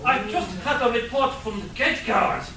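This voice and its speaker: A woman's voice: a little high, normal speed, pushed and bright. A woman playing a scheming professor in an amateur comedy radio play, theatrical and exclamatory. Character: scientist professor villain, incredulous, scheming accomplice. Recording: roomy, distant live convention recording with noise.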